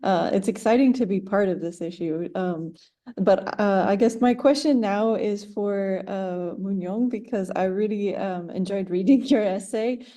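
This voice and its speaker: A woman academic asking an informal discussion question in a tentative, halting way. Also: mid-range voice, dark tone.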